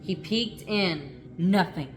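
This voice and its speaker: A woman narrates a children's story at a very slow pace, in a slightly low voice. She is expressive and dramatic, drawing out words to build suspense. There is faint noise in the recording. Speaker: storyteller reading a picture book aloud.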